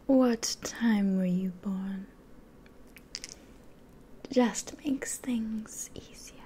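A young woman playing a soft-spoken, scheming female demon in an ASMR roleplay, whispering breathily and coaxingly close to the microphone. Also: medium pitch. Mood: sweetly sinister. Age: 20s. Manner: slow, gentle, coaxing. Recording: close whisper with clear background hiss.

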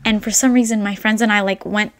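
A woman in her twenties, raised in Albania, chatting to camera in a relaxed Q&A and filling her talk with "like". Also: mid-range voice, fast talker. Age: 20s. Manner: chatty, rambling, full of "like" fillers. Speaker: vlogger. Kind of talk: casual Q&A chat to camera. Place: indoor room with brick wall and shelves.

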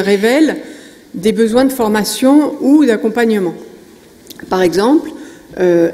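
A woman's voice: fairly high pitch, dark tone. A middle-aged woman giving a conference talk at a lectern, hesitant with many fillers and restarts. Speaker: conference speaker. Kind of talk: conference presentation. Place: conference hall stage at a lectern. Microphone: lectern microphone. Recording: long hall reverb.

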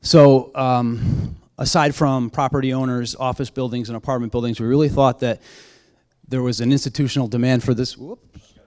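A man with a smooth, resonant voice presents to a room in a library auditorium, speaking into a handheld mic. He sounds upbeat and keen to win the audience over. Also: steady tone, moderate pace, darkish tone. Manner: upbeat, pitching the idea. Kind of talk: public presentation.

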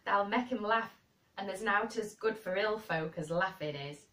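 A woman's voice: mid-range voice, moderate pace, pushed and bright, natural voice. A woman voicing a good-humoured country boy with a broad rustic Yorkshire dialect in an audiobook story. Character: good-humoured Yorkshire moor lad, chuckling and earthy. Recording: roomy echo, distant microphone.

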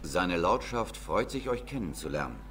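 Dwarf lord's aide, video game, deep voice, sober, formal, grave, light ambience. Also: male voice, sing-song, moderate pace, a bit bright, slight background noise. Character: sober, loyal middle-aged dwarf warrior aide.

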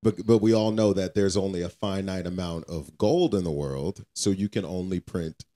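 A man's voice: low-pitched, moderate pace, dark tone. An American man co-hosting a podcast, speaking casually with slang and repeating phrases for emphasis. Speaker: podcast co-host. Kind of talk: casual conversational podcast talk.

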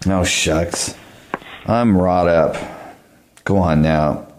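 A man with an American accent playing a gruff, hot-tempered, overworked farmer in a radio play, with folksy rural speech. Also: low voice, very slow, a little hiss or hum. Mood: brusque, stubborn.

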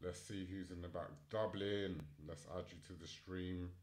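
A man's voice: low-pitched, fairly even intonation, normal speed. A man hosting a live online news and chat show, talking casually and thinking aloud as he goes. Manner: informal, unscripted, thinking aloud. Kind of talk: casual live stream chat and article reading.

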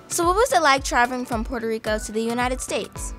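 A woman's voice: fairly high pitch, animated, fast talker. A young teenage girl hosting an interview show with upbeat scripted lines and curious questions, recorded in a studio. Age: young teen. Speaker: student interviewer, show host. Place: studio with plain backdrop. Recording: music bed underneath.

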